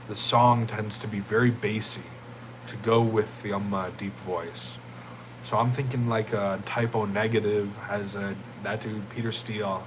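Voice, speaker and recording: A young man with a deep, jazzy voice, chatting casually with viewers on a livestream in a loose, rambling way. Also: fairly bright tone, slight background noise, low-quality recording. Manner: laid-back, rambling, thanks chatters as "my friend" and "bro".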